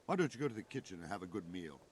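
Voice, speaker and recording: A man's voice: low voice, big pitch swings, racing, breathy edge, heavily acted. A man acting a kindly, fatherly, consoling castle figure in a video game.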